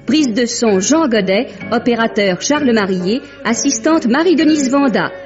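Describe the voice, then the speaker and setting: A woman's voice: a little high, animated, moderate pace. A woman reads formal scripted programme credits as a radio drama announcer. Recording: dull vintage radio recording with music. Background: prominent music.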